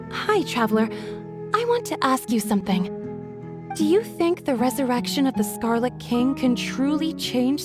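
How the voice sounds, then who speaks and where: A woman's voice: on the high side, close to an everyday voice. A woman voicing a timid, guarded young village woman in a video game, speaking hesitantly and politely. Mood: hesitant, wary. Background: music.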